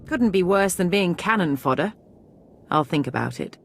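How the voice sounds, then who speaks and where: Bitter female trooper, lowish pitch, sardonic, blunt, video game drama. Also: lively intonation, moderate pace, vocal fry, a little hiss or hum. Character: hard-bitten, bitter female Imperial soldier.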